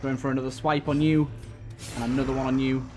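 A man's voice: mid-range voice, little pitch movement, fast talker, a little mellow, faint music behind. A man giving casual let's-play commentary, thinking aloud as he plays. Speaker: gaming video host.

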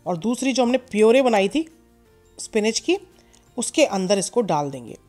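A woman hosting a cooking channel, calmly talking viewers through a recipe step by step in a voice-over and mixing in English words. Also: mid-range voice, normal speed, soft background music.

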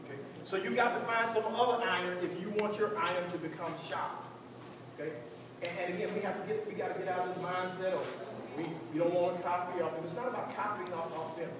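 A man's voice: high-pitched, steady tone, fast. A man speaking as a pastor leading a church workshop, preaching and teaching emphatically, repeating phrases and seeking responses from his listeners. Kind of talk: preaching-style teaching to a live group. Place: church meeting room with seated audience. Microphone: distant camera among the audience. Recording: echoey hall, distant mic, noisy room.

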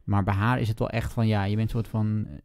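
Gravelly, mellow man talking books casually. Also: fairly low pitch, little pitch movement, fast. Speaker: book podcast host. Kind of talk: casual book discussion.

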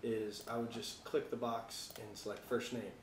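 An American man giving a casual software tutorial, talking informally and thinking aloud as he works. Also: lowish voice, flat delivery, normal speed, reverberant, slight background noise. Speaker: tutorial presenter.

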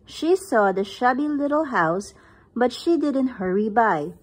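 A woman's voice: on the high side, animated, measured pace, breathy edge. A woman reading a picture book aloud at home, recorded on a phone camera above the book. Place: indoors, at home.

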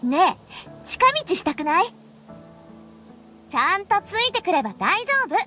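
A woman voicing a chatty, eager teenage girl in a Japanese anime game, lively and friendly. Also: very high-pitched, measured pace, faint music behind, heavily acted. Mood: playful, excited.

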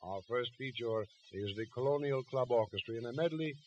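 A man's voice: mid-range voice, fairly quick. Formal 1920s radio announcer man, muffled recording. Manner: formal, declamatory.

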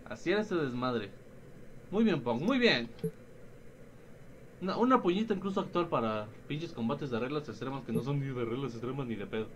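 Young man, Mexican accent, lively swooping pitch, casual gamer commentary, slangy and profane, some background game noise. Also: normal speed. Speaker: gaming YouTuber.

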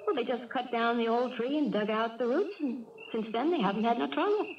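A woman's voice: a little high, animated. A woman acting a sweet, hesitant small-town country girl with a Southern US accent in a 1970s radio drama. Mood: folksy storytelling. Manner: folksy, halting. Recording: dull, noisy 1970s radio recording.

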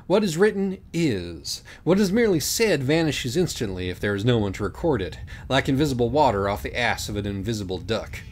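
A man's voice: fairly high pitch, pitched above the performer's own voice. A man voicing a pretentious, irritable young judge of the afterlife in an audiobook, talking pompously.

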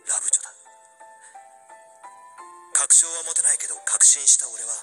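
A man playing a wistful young man pining for an old love, narrating a radio drama in a hushed, introspective way. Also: mid-range voice, lightly acted.

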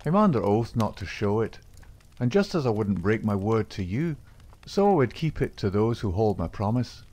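A man's voice: lowish voice, sing-song, moderate pace. A man doing a coaxing rogue. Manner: coaxing, reassuring, soft-spoken. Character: charming Irish secret-society man, smooth-talking lover.

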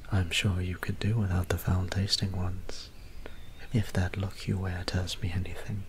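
A man playing a gentle, doting young vampire priest in an ASMR roleplay, speaking softly and half-whispering in polite, old-fashioned phrases. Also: deep voice, normal speed, close to an everyday voice. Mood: apologetic, gentle. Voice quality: soft, breathy, half-whispered. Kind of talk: soft-spoken ASMR roleplay. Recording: steady background ambience noise.

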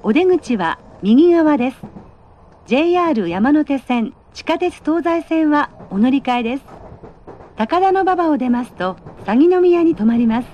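High-pitched female train announcer, slightly dull audio. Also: very animated, a little hiss or hum. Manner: polite, measured, precisely phrased. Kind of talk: prerecorded scripted announcement.

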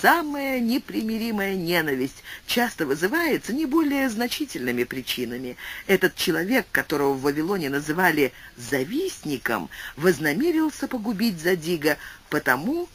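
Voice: moderate pace, breathy edge. An audiobook narrator giving a scripted literary reading and reading explanatory footnotes aloud. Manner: animated reading with wide pitch swings.

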